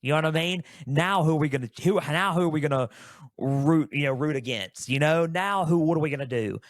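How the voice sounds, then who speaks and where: A man's voice: fairly high pitch, a little fast, no room sound, studio quality. A man in his early twenties talking solo as a sports podcast host, conversational and storytelling, repeating phrases for emphasis.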